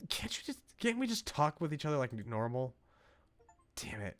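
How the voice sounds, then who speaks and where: A man's voice: on the high side, very animated, normal speed, fairly bright tone. A man voicing an anxious, frustrated young woman from a visual novel in an earnest, pleading dramatic reading. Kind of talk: dramatic reading of game dialogue. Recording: game music behind the voice.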